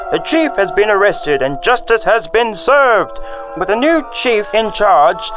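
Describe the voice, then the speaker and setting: High-voiced male radio drama narrator. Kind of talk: scripted narration.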